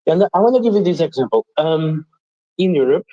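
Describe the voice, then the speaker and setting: A man's voice: on the high side, lively intonation, fairly quick, highs cut off. A man from Portugal giving his opinions as a panel guest in an emphatic, assertive way.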